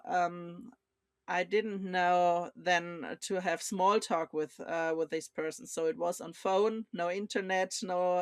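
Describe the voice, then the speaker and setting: A woman's voice: lowish voice, no room sound, clean studio sound. A woman co-hosting a business culture talk, speaking hesitantly with frequent fillers. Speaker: co-host. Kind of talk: conversational podcast discussion.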